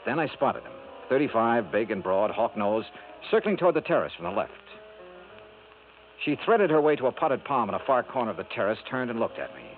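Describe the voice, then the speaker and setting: A man's voice: medium pitch, very animated, fairly quick, natural voice. A man with an American accent playing a dogged, wry insurance investigator in a radio noir drama, with clipped, dry delivery. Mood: wry, brooding. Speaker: lead detective and narrator. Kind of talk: 1950s radio noir acting. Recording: tinny old radio broadcast, music behind.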